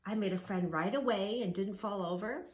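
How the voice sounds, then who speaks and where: A woman's voice: mid-range voice, normal speed, darkish tone, natural voice. A woman voicing a kind, reassuring young boy, an older brother in a children's storybook, speaking in an earnest, encouraging way.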